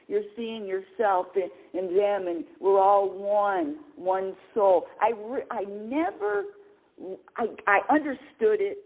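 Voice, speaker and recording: Middle-aged woman host, lively spiritual talk over a tinny phone line, stumbles and restarts, laughs a lot. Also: mid-range voice. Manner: animated, repeats and restarts words, laughs often. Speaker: radio show host.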